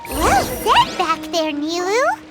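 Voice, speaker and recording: A tiny, cheerful game fairy, with an extremely high, childlike squeak. The delivery is perky and bouncy, swinging widely in pitch, over clear background music. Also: female voice, wildly swooping pitch, slow, exaggerated voice. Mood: upbeat. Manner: exclaiming, perky. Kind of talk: video game voice acting. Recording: music behind the voice.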